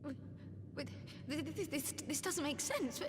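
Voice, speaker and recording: A woman's voice: sits high, lively intonation, normal speed, noisy background. A woman playing a polite, earnest young seer in a video game cutscene. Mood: bewildered. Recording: reverberant hall, music behind.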